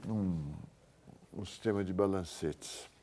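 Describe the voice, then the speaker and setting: A man with a Brazilian accent giving a university economics lecture, informal and halting, thinking aloud and correcting himself as he works through examples. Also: low voice, mellow, a little hiss or hum. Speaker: economics professor.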